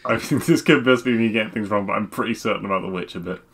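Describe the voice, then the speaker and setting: A man with a lowish voice talks as a podcast co-host. His delivery is conversational and unscripted, and he hesitates as he pieces his thoughts together. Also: fast, fairly bright tone, slightly rough. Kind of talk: casual chat.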